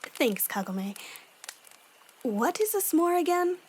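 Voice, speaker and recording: A woman's voice: very high voice, very animated, slow, a little mellow, a little hiss or hum, exaggerated voice. A woman playing a firm, stern young woman with a no-nonsense manner in an anime-style audio drama. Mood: calmer, matter-of-fact.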